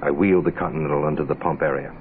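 An American man playing a cool, wry hard-boiled private investigator in a 1960s radio drama, laconic and deadpan. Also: rumbling low, rapid-fire, slight background noise. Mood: cool, brooding. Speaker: lead actor and narrator. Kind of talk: 1960s radio detective drama acting, first-person narration. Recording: muffled phone-like old radio, music behind.

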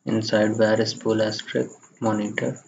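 Muffled, flat-voiced man giving a software tutorial. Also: fairly low pitch, moderate pace, vocal fry. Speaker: tutorial presenter. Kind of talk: software screencast tutorial, step-by-step narration. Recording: muffled lo-fi microphone, faint background noise.

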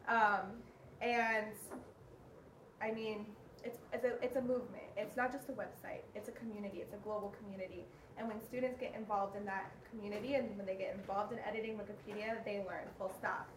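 A woman's voice: mid-range voice, fairly even intonation, fairly quick. A woman giving an informal workshop presentation to an audience in a large room. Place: large conference room, distant camera without microphone. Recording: echoey, distant, noisy room.

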